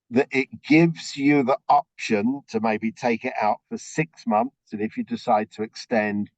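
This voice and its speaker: A man with a slightly croaky voice briefing a group on a video call, explaining things step by step and checking in with frequent okays. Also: on the low side, a little mellow, no echo, highs cut off. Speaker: orientation presenter.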